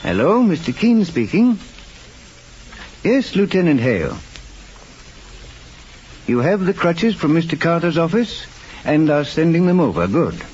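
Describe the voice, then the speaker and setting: A courteous old-radio detective, highish voice, lots of swing, firm and formal, muffled hissy recording. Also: male voice, big pitch swings, a little mellow. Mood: assured. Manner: measured, formal, deliberate. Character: calm, authoritative male detective, courteous but firm investigator. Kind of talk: old-time radio drama acting.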